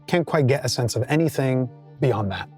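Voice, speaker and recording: Man slowly narrating as game master. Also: medium pitch, lively intonation, darkish tone, soft background music. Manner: slow, drawn-out descriptive narration.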